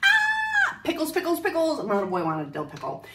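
A woman's voice: sits high, wildly swooping pitch, brisk, very noisy. A woman in her thirties gushing through a grocery haul vlog, bubbly and upbeat, filmed on a handheld phone. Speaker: vlogger. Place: grocery store aisles, then home.

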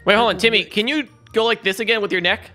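A man voicing an excitable, bossy, cocky schoolboy in comedy dialogue, dramatic and prone to stammering. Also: high-pitched, quick pace, soft background music. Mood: pushy.

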